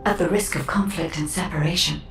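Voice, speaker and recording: Actress as low-voiced calm female guide, roomy sound. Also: a little hiss or hum, lightly acted. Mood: calm, earnest. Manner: measured, formal, composed. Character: calm, analytical female guide advising fighters, earnest and measured. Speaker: guide character. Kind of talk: scripted video game dialogue. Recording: roomy, distant, music underneath.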